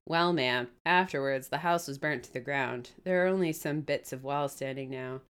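A woman's voice: very deep voice, moderate pace, fairly bright tone, clear, resonant tone, voice pushed down. A woman voicing a grave middle-aged male innkeeper who speaks in plain, old-fashioned country words and is deferential and sorrowful.

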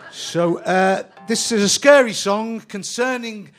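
A man's voice: sits high, slow pace. A man from Liverpool with a Liverpool accent, a comic songwriter mumbling dry jokes between songs and singing a comedy song at a live pub gig. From Liverpool, England. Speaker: musical guest, comic songwriter. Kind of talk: comic patter and sung comedy song with guitar. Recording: loud guitar under the voice. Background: guitar.

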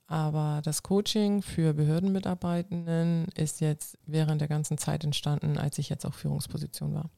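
Gravelly woman, earnest. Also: deep voice, little pitch movement, moderate pace, few pauses, warm tone, no echo, clean studio sound. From North Frisia, northern Germany. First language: Low German. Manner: earnest, explains at length with restarts. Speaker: guest, coach and civil servant. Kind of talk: casual interview answers.

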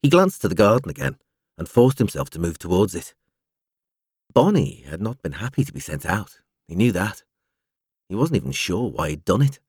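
A male narrator with a fairly low voice reads a novel aloud. His intonation is lively and moves widely as he shapes each phrase in a measured storytelling manner. The sound is dry and close, like a studio audiobook. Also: breathy edge, no room sound. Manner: expressive, measured storytelling delivery. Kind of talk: scripted literary narration.

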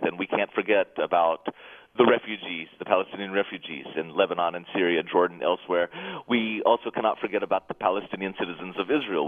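Interview guest, a man in his thirties, articulate and measured, low voice, tinny phone audio. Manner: measured, articulate, occasional soft laughs. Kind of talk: thoughtful interview answers.

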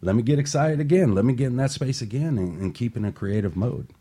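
A man's voice: medium pitch, quick pace, slightly rough. A man hosting a motivational radio talk show, chatting casually and upbeat with a co-host in a studio. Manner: upbeat motivational, relaxed with fillers.

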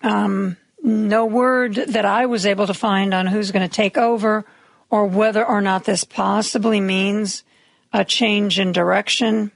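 A woman hosting a radio talk show, raspy from medication, giving chatty, wry news commentary with opinionated asides. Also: mid-range voice, steady tone, unhurried, dry recording. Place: radio studio.

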